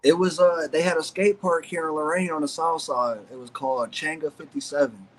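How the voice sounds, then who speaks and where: A man's voice: a little high, steady tone, fairly quick, poor audio. Profane young man telling stories. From Ohio, USA. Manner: loose, profane storytelling with many fillers. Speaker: guest, former pro BMX rider. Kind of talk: casual podcast chat.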